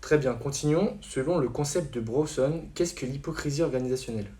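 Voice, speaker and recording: A young man hosts a scripted quiz show and rattles off his questions at a very quick pace. His voice has a dark, mellow tone, and he reads every explanation in a steady announcer manner. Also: mid-range voice. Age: young adult.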